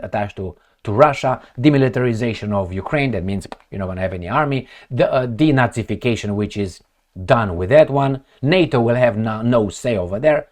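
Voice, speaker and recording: A man's voice: medium pitch, a little mellow. A man giving blunt, sarcastic political commentary, firing off rhetorical questions and swearing as he reacts to news reports.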